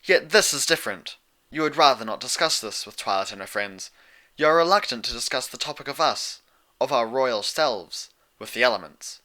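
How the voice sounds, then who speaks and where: A man plays a regal pony princess of the night in a storybook tale. The voice is lifted well above his own and very bright and forceful. She speaks formally and proudly, with a sharp, challenging edge. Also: medium pitch, animated, moderate pace, pitched above the performer's own voice. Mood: probing, formal. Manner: formal, archaic, challenging phrasing.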